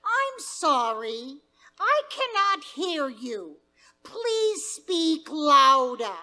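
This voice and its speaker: A woman voicing a prim phone operator, bright high voice, sing-song, very slow and measured. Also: sing-song, heavily acted. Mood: formal. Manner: formal, measured and polite. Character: prim, clipped female telephone operator.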